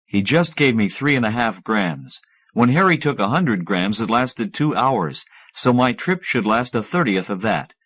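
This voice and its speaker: Man as a wisecracking, excitable mad scientist. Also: mid-range voice, unhurried, dry recording. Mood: explaining. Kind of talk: audiobook character dialogue.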